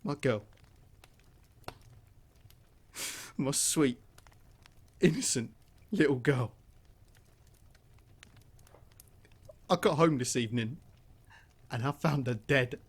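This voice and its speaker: A man's voice: medium pitch, animated, unhurried, breathy edge. A man playing a vengeful grieving miner. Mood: grieving rage. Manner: bitter, seething, erupts into shouting. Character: grieving, vengeful male miner and widowed father. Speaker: mine worker. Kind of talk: audio drama acting.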